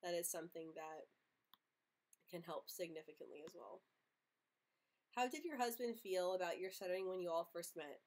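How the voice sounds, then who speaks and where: A young woman who stutters often, with repeated sounds and blocks, hosting a casual live Q&A, earnest and quick to laugh. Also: fairly low pitch, darkish tone. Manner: earnest, open, laughs easily. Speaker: livestream host answering viewer questions.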